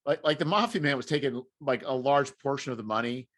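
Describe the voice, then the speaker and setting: A man's voice: medium pitch, brisk, a bit bright. A man hosting a podcast in casual conversation, feeling his way through rambling, self-correcting sentences. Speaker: podcast host.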